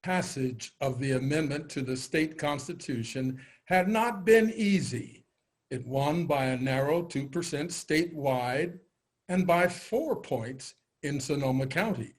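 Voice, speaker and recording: Male reader for historical report, slightly high voice, slow deliberate scripted delivery. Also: lively intonation. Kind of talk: scripted historical reading, news report manner.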